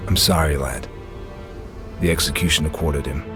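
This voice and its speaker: A man playing a grim, stern inquisitor in a video game, measured and solemn. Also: very deep voice, over music. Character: grim, composed male inquisitor, coolly stern.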